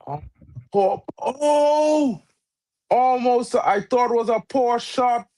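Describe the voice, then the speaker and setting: A man's voice: very high voice, slow, dark tone. A man who is not a native English speaker, giving casual live football commentary and chat in slangy talk peppered with "bro". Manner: slangy, says "bro" often. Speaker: football watchalong co-host.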